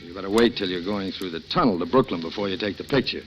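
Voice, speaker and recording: A man playing a smooth, coaxing schemer who talks down to a young woman, in a 1940s radio drama. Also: low voice, fast talker, fairly bright tone, a little hiss or hum. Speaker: lead actor. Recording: dull lo-fi 1940s radio broadcast.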